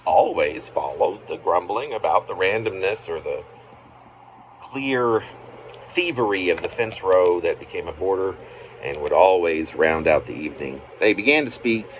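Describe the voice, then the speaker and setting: A man reading his own narrative poem aloud as its author, in halting phrases with pauses mid-sentence. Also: sits low, expressive. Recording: muffled, noisy amateur home recording.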